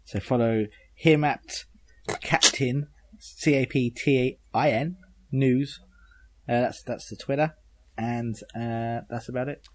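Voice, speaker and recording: A man's voice: medium pitch, steady tone, takes it slowly. A man chatting casually as a podcast panelist, a bit hesitant and rambling, with a joking manner. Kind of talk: casual group chat.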